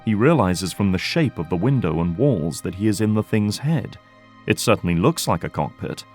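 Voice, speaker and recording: A man's voice: on the low side, animated, normal speed, darkish tone, faint music behind. A man giving scripted narration as the storyteller of an audio drama.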